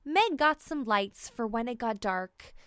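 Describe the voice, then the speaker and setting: Slow, clear woman reading a children's storybook. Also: fairly high pitch, expressive, fairly bright tone, no echo. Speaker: storybook reader. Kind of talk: scripted children's read-aloud narration.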